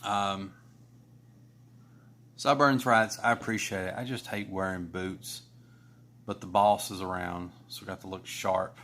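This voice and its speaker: Rambling man, lowish voice. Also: steady tone, a little slow, pauses often, a bit bright. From Kentucky. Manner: rambling, many restarts and fillers. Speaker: artist streaming live. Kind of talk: casual chat while drawing.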